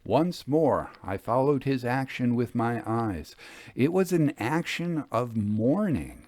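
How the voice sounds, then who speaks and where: A man narrating a classic ghost story in the first person, with theatrical, measured phrasing. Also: mid-range voice, expressive, a little mellow, no echo.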